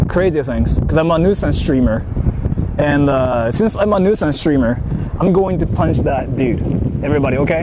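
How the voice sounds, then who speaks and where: A young man with a Japanese accent, chatting casually and jokingly on a live stream from outdoors, rambling with lots of fillers and repeated words. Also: fairly high pitch. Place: outdoors in wind. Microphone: phone on a live stream. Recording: wind noise on a phone mic.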